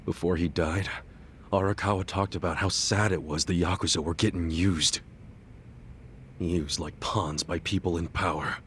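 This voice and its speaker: A scrappy middle-aged gangster hero with a lowish voice. He is blunt and heartfelt, a loyal type from a video game drama, recorded over faint ambient noise. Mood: reflective. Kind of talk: video game cutscene dub acting.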